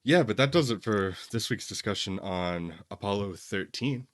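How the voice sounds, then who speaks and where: Man, very low pitch, dry close sound, podcast co-host, casual review talk, conversational and opinionated. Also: lively intonation, moderate pace, dry recording. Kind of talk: casual film review discussion.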